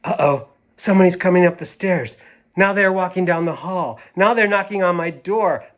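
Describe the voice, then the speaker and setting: A woman's voice: lowish voice, normal speed, voice pushed up, lightly acted. Storybook role: sulky drama-queen little girl, voiced by a man, haughty and emphatic, every grievance precisely stated. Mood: stubborn. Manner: pompous, emphatic, over-precise declarations.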